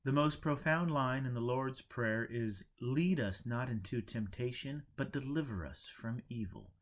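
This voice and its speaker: Storybook monk mentor, played by a male narrator: didactic, teasing, deliberate delivery, unshakeably sure of himself. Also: medium pitch, lightly acted. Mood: preachy. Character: imperturbable male monk teacher, preachy and teasing.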